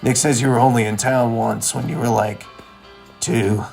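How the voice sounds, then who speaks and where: A man voicing a blunt, sarcastic, unimpressed young friend in an animated comedy-drama, with casual, sardonic delivery. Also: medium pitch, normal speed, vocal fry, soft background music, lightly acted.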